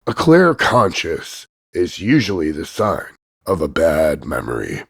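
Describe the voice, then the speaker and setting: A man voicing a cocky, crude macho action hero, gravelly and husky, delivering smug deadpan one-liners in a slow drawl of punchlines. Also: very deep voice, no echo, clean studio sound, exaggerated voice. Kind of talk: voice-over demo of insults and one-liners.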